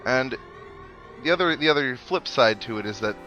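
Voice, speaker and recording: A man's voice: medium pitch, expressive, moderate pace, sharp tone, muffled recording. A man giving casual, rambling let's play commentary, thinking aloud as he plays. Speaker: gaming host. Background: video game music.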